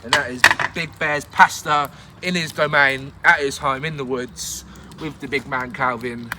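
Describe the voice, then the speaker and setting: A man's voice: mid-range voice, fairly even intonation, measured pace, noisy background. A man hosting a casual outdoor cooking show in the woods, talking through each step with plenty of jokes and laughter. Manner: chatty, jokey, laughs often.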